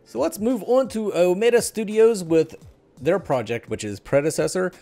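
A man's voice: high-pitched, lively intonation, moderate pace, dark tone, faint music behind. Middle-aged man hosting a casual gaming podcast. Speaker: podcast host. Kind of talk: casual gaming news discussion. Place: small room at home, video call. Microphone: headset and desk microphone.

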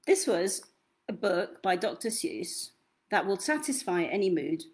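A young woman reading picture books aloud to children in an expressive, theatrical way, drawing out key words. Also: medium pitch. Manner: theatrical, deliberate, drawn-out delivery of key words. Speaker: children's storytime reader. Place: small indoor room at home.

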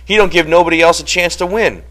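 A man's voice: on the high side, fast talker, a bit bright, voice pushed up, lightly acted. A man voicing a thick-bodied, blunt ranch worker in a storybook reading, coarse and emphatic. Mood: brash.

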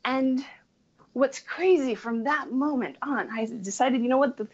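A woman in her thirties from Chile, talking in a bright, slightly high voice with animated energy and lots of "like" fillers. Her audio comes through a bit dull and lo-fi. She sounds like a relaxed podcast guest in casual conversation. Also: measured pace, husky. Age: 30s. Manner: animated, laughs often, many "like" fillers. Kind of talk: casual interview chat.

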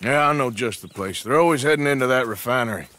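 An actor playing a gruff, menacing American outlaw in a video game, blunt and folksy with a dry wit. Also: male voice, mid-range voice, fast, pushed and bright, lightly acted. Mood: wry, laid-back. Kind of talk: video game character acting.

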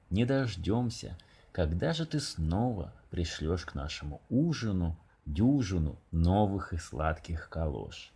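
Man doing a wheedling crocodile, swooping pitch. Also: dark tone, slightly rough, full character voice. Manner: tearful, wheedling. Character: pleading, greedy crocodile family man.

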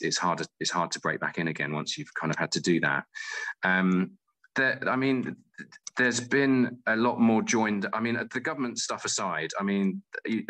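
A man speaks as a guest in a conversational interview. His voice is very low yet bright and forward. He talks thoughtfully, circling back over phrases as he works out his answer. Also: low-quality recording. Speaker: interview guest, playwright and theatre director.

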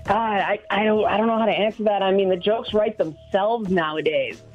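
A woman's voice: mid-range voice, fairly quick, soft background music. A woman from Toronto, talking earnestly as a guest in a radio interview. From Toronto, Canada. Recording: dull phone line.

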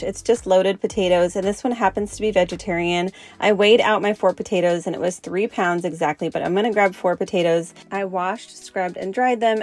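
A young woman narrates a home cooking demonstration in an easygoing voice-over. Her voice sits a little low for a woman, and she walks through each step in a friendly, instructive way. The sound is close and clean. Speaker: cooking channel host.

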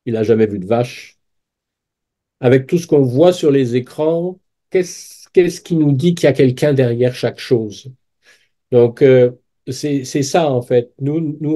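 A man in his sixties speaks slowly into a webcam from a small room. His voice is dark and mellow, and his delivery is earnest, warming into animated explanation while he restarts his phrases along the way. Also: medium pitch. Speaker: guest, book fair president. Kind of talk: conversational interview answer. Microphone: webcam on video call.

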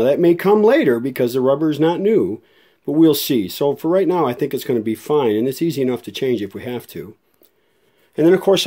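A man casually narrating a hands-on demonstration as host, folksy and unhurried, thinking aloud as he goes. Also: mid-range voice, dark tone. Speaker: video host and radio restorer.

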